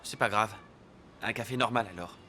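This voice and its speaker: A man's voice: on the low side, normal speed, slight background noise. An actor playing a curt, preoccupied man in a cartoon dub, speaking in short clipped phrases. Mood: polite. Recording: loud music, slightly distant voice.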